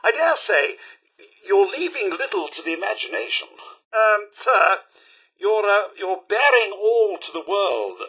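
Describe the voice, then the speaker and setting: An actor playing a prim, cheery, sardonic British robot butler in a video game, with a fussy, over-polite manner and dry sarcasm. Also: male voice, high-pitched, sing-song, unhurried, sharp tone, breathy edge, full character voice, low-quality recording. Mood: prim disapproval. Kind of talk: video game companion voice lines.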